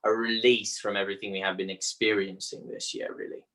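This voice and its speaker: Brazilian-accented man, Portuguese native, bright forceful tone, halting delivery, guest expert. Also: lowish voice, flat delivery. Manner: halting, searching for words with frequent pauses. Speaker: astrologer guest. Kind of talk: conversational interview.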